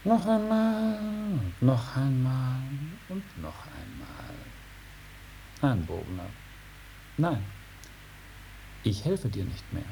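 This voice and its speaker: An actor playing an aloof old male dragon in a 1950s German radio drama, speaking in a drawn-out, unhurried and repetitive way. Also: medium pitch, sing-song, gravelly, warm tone, exaggerated voice. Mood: mocking refusal. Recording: noisy 1950s radio recording.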